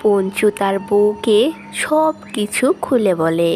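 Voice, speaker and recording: A woman giving scripted narration for an animated moral story. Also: mid-range voice, slow. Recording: voice over clear background music.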